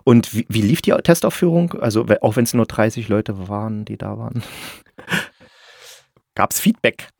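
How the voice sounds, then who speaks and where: Male podcast host, lowish voice, informal interview chat, dry close sound. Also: unhurried, creaky, darkish tone, no echo.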